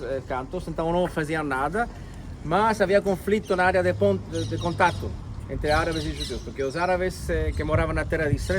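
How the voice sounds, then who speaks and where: A man working as a tour guide, giving an outdoor history talk and lecturing in a hesitant way with frequent pauses. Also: fairly high pitch, a little hiss or hum. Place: outdoors in an old town.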